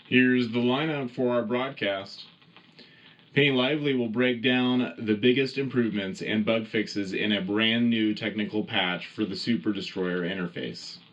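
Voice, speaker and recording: Patriotic radio anchor played by a man, mid-pitched, formal dramatic news delivery, slightly dull broadcast sound. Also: a bit bright, lightly acted. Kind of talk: scripted in-world news broadcast.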